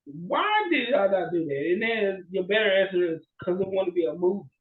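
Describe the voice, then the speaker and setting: High-voiced man chatting in an echoey room. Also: a little fast, phone-quality sound. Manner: animated storytelling with frequent "right?" check-ins. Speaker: podcast co-host. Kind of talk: casual movie chat, retelling plots.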